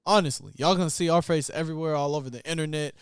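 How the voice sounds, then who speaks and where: A man's voice: on the high side, rapid-fire, clean tone, no echo. A man in his twenties co-hosting a podcast, laid-back and slangy, talking straight to his listeners. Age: 20s. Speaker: podcast co-host. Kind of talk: casual chat.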